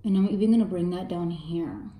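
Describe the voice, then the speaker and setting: Young woman, mellow and a touch raspy, casual slangy beauty-vlog chat at home, echoey room. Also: a little fast. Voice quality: slightly raspy, deep for a woman. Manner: chatty, slangy, candid asides to viewers. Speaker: makeup artist and beauty vlogger. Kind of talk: casual makeup tutorial. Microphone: camera in front, face framed close.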